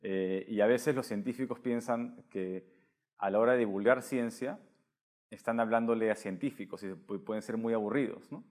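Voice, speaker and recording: A man's voice: fairly low pitch, normal speed, a little mellow. A man interviewing conversationally, thinking aloud and adding frequent tag questions. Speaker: interviewer, host.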